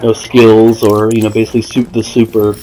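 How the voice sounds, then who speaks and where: A man's voice: on the low side, completely flat delivery, a little fast, mellow, poor audio. A man giving casual gameplay commentary, explaining things in a hesitant, self-correcting way. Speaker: gaming video maker. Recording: voice over faint game music and gunfire.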